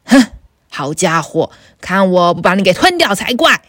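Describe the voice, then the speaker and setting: A woman voicing a gruff, greedy male troll who growls threats from under a bridge, in a storybook reading. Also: on the low side, big pitch swings, moderate pace, background noise, voice pushed down. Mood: menacing.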